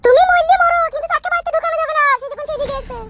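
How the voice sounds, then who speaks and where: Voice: very high-pitched, very fast, very noisy. A performer voicing a defiant, quarrelsome young wife in a comic cartoon, whiny and argumentative. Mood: defiant, scornful.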